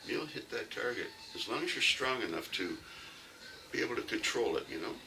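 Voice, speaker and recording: A man's voice: on the low side, animated, fairly quick, distant mic, background noise. A man with a Canadian accent giving a casual, rambling archery talk on his own channel. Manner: rambling, folksy, with frequent fillers. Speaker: archery vlogger.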